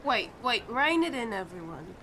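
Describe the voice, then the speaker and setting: A woman's voice: a little high, big pitch swings, moderate pace, fairly bright tone, a little hiss or hum. A woman playing a bossy, upbeat, dutiful young child sleuth in an audio drama.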